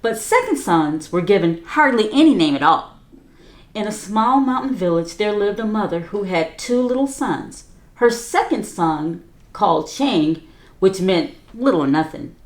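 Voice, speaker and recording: A woman's voice: mid-range voice, animated, unhurried, reverberant. A woman reading a children's picture book aloud as a teacher, with chatty asides to young listeners. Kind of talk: storybook read-aloud.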